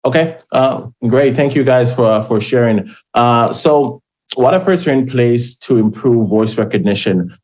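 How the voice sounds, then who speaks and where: A man from Jamaica with a Jamaican accent, hosting a panel discussion and speaking haltingly, with many fillers and restarts. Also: mid-range voice, darkish tone. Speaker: podcast host.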